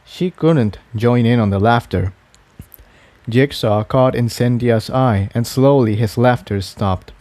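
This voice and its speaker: Man, scripted fiction narration, relaxed dark timbre, mid pitch, very dry recording. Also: takes it slowly, dry recording.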